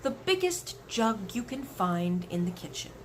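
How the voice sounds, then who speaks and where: A woman's voice: medium pitch, big pitch swings, measured pace, a little hiss or hum. Woman as a fussy, dignified royal butler. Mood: hushed command.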